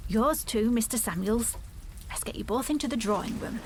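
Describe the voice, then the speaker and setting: Woman acting hushed, motherly, fretful housekeeper. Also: a little high, normal speed, slight background noise, close to an everyday voice. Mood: fussing, concerned. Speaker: actor in an audio drama. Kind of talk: period audio drama acting.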